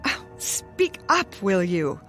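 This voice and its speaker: A woman's voice: medium pitch, big pitch swings, slow, fairly bright tone, faint music behind. An actress playing a vain, demanding, wealthy older woman in a radio drama, haughty and snappish. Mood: impatient.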